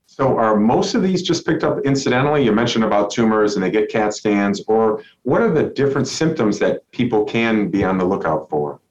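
Guy hosting a podcast, lowish measured voice, muffled lo-fi recording. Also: lively intonation, darkish tone. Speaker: podcast host. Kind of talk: podcast interview. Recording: dull, lo-fi sound.

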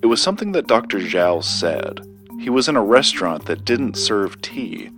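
An actor playing a guarded, loyal investigator in an audio drama, speaking in a dry, understated manner. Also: male voice, on the low side, big pitch swings, normal speed, music in the background.

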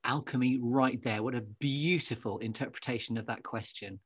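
A man's voice: mid-range voice, moderate pace, slightly rough, dry recording, highs cut off. A man hosting an interview podcast in a conversational, effusive and wordy way, full of compliments. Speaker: podcast host.

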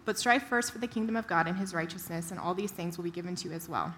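A woman's voice: fairly low pitch, quick pace, fairly bright tone, a little hiss or hum. A woman reading scripture aloud as a volunteer reader.

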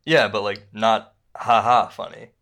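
An actor playing an insecure, self-pitying stand-up comedian in a radio play, speaking in a halting, conversational way. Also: male voice, fairly low pitch, flat delivery, fairly bright tone. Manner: halting, conversational, with repeated words. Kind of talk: naturalistic radio play dialogue.

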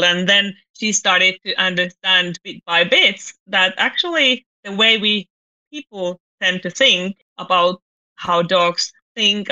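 A woman from Finland with a Finnish accent, a company founder speaking as a podcast guest and pausing to find her words. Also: lowish voice, sharp tone, dull audio. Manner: thoughtful, searching for words, light laughs. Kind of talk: podcast interview.

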